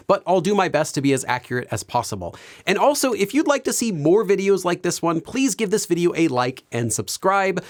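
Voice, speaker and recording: A man teaching Korean on his video channel, explaining things clearly and laughing often. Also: high-pitched. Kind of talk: educational video commentary.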